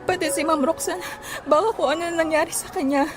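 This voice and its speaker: A woman with a Filipino accent playing an anguished, pleading mother in an audio drama, halting with emotion. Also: very high-pitched, fairly even intonation, over music. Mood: guilty, despairing. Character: anguished young mother, overseas worker searching for her missing child.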